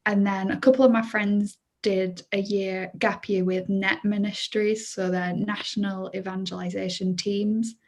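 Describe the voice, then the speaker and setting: Flat-voiced woman in her twenties. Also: medium pitch, unhurried, few pauses. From Leeds, England. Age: 20s. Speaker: guest, charity youth worker. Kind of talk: interview answers.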